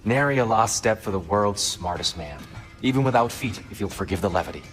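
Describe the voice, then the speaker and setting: An actor playing a gentle, courteous old god in a video game, speaking in a formal, measured, humble way. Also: male voice, lowish voice, expressive, soft background music. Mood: warm, grateful. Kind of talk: cinematic video game acting.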